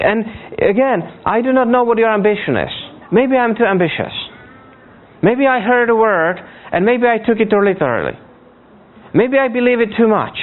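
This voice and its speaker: A man from Africa preaching conversationally and earnestly, often repeating words haltingly and checking in with his listeners. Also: high voice. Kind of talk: conversational sermon. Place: speaking to a church congregation. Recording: tinny, phone-like narrow band.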